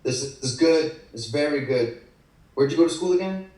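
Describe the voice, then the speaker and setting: A man's voice: fairly high pitch, normal speed, a little mellow, natural voice. An actor playing a probing, condescending employer in his thirties in a table read. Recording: echoey room, distant video call mic.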